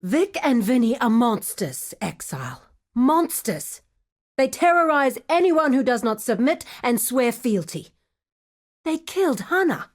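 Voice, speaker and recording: A woman playing a composed, dutiful lieutenant to a crime boss in a video game, speaking in a measured, formal, businesslike way. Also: on the high side, big pitch swings. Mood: bitter anger. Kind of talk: video game character voice acting.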